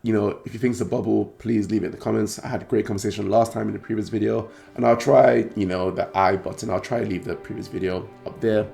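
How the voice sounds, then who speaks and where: A man with a British accent hosting a trading card channel, talking casually to camera in a croaky, hoarse voice, rambling and clearing his throat often. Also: fairly low pitch, fairly even intonation, fast talker, hardly any pauses, warm tone. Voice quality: gravelly.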